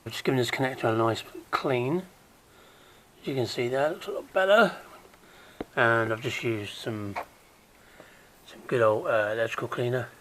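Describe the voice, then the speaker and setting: A man with a croaky voice gives a casual, hands-on how-to while working on an engine in a garage, chatting along and dropping to a mutter as he works. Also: mid-range voice, moderate pace, pauses often, a bit bright, slight background noise, poor audio. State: croaky, recovering from illness. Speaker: DIY car repair vlogger.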